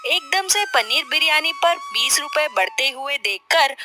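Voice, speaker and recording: A woman narrating a scripted children's story in an expressive storytelling manner. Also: sits high, unhurried, over music.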